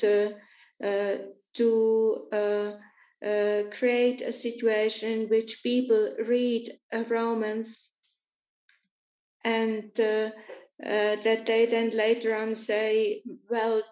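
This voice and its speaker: Woman, interpreter, very slow, flat delivery, hesitant, roomy dull lo-fi sound. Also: on the high side. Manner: hesitant, many filler sounds.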